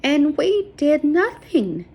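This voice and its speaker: A woman's voice: high-pitched, big pitch swings, slow pace, warm tone, pitched above the performer's own voice, heavily acted. A woman voicing a bossy but tender-hearted girl in a children's audiobook, with emphatic, emotional delivery. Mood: grieving.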